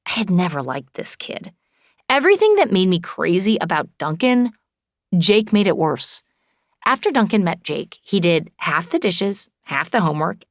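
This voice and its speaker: A woman reading an audiobook in expressive first person, wry and conversational. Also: lowish voice, gravelly, no echo, clean studio sound. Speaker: audiobook narrator.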